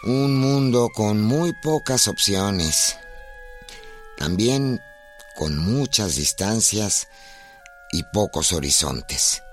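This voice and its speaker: An actor as the solemn narrator of a radio biography, measured and emphatic in a literary scripted style. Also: male voice, lowish voice, very animated, faint music behind, full character voice.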